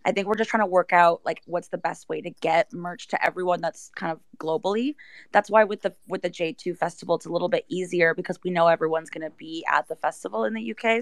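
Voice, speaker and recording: A woman's voice: on the low side, creaky, no room sound. A woman in a casual panel chat, explaining things conversationally with plenty of "like" and "you know". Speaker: project team member, guest.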